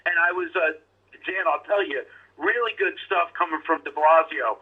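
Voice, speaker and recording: A man's voice: fairly high pitch, moderate pace, breathy edge. A man from New York calling in to a talk show, speaking with wry sarcasm. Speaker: caller. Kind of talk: phone-in chat. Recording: tinny phone line.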